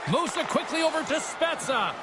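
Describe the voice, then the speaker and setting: A man calling hockey play-by-play in excited, clipped phrases, as a game commentator. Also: very high voice, moderate pace, a loud crowd, very noisy.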